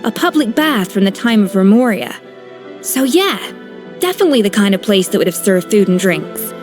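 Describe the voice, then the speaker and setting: A woman's voice: mid-range voice, sing-song, normal speed, close to an everyday voice. An actress voicing a blunt, easily exasperated adult woman in a video game, who sighs and sounds irritated. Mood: matter-of-fact. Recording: game audio with faint music underneath.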